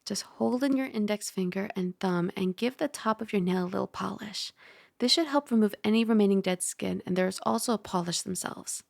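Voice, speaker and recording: A woman voicing a caring young dragon roommate in a soft ASMR roleplay, gently bossy and teasing as she gives close-mic instructions. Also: mid-range voice, fairly quick, gravelly, no room sound, lightly acted. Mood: gently instructive. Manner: gently bossy, teasing, puzzled by human ways. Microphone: close ASMR microphone.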